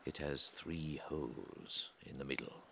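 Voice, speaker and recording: A man reading an atmospheric literary story in a measured way, pausing between short phrases, with a gravelly voice that often turns breathy and trails off. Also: rumbling low, sharp tone, slight background noise. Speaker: reader of a literary audio story. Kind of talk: slow atmospheric literary narration.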